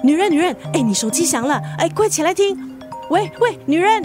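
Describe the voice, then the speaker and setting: A woman's voice: extremely high pitch, sing-song, brisk, music in the background. An actress in a radio drama playing a blunt, sassy but caring female friend, with a colloquial Singaporean accent. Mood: panicked. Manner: colloquial, mixes in English words.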